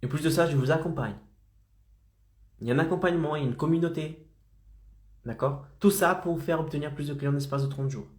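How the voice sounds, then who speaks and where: A man's voice: fairly high pitch, a little fast, pauses often, echoey room. A man with a slight head cold, coaching freelancers in a motivational live stream and keeping his audience engaged with frequent check-ins. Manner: insistent, keeps checking in with "d'accord?" and "ok?".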